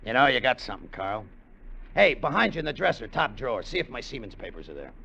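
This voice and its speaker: An actor playing a bitter, short-tempered, broke American gambler in a hard-boiled 1950s radio drama, tough and slangy. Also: male voice, mid-range voice, sing-song, fast talker, creaky, bright, forceful tone, a little hiss or hum, exaggerated voice. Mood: curt, wary. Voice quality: tough, slangy, curt delivery. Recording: dull vintage radio recording, music behind.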